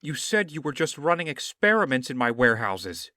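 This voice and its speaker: A man's voice: mid-range voice, big pitch swings, brisk, bright, forceful tone, no echo. A man playing a horrified business partner with a troubled conscience in an audio drama, indignant, pleading and emotional. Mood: accusing.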